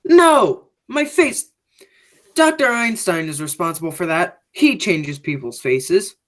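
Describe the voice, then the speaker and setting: A woman's voice: fairly low pitch, animated, slow pace. A teenage girl playing a sinister criminal man in a school radio play, speaking in grandiose, theatrical phrases. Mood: insistent. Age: teens. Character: sinister, theatrical criminal nephew returning home.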